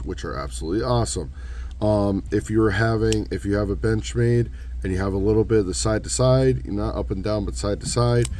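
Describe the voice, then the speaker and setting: A young man with a hoarse voice from losing it, casually walking through a hands-on tutorial in a relaxed, rambling way. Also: fairly low pitch, a little hiss or hum. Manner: relaxed, rambling, self-correcting. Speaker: knife modding channel host.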